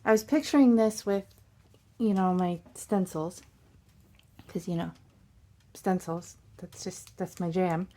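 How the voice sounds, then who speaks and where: A hoarse woman hosting a casual crafting unboxing from her craft desk, chatty and bubbly, with little asides and puns. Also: on the low side, steady tone, slow, pauses often, mellow. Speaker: crafting channel host.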